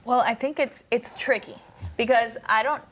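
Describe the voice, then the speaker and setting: Chatty higher-voiced young woman. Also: fairly even intonation, normal speed, a bit bright, slight background noise. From Colombia. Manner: questioning, chatty, lightly laughing. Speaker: discussion leader. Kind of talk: Bible study discussion.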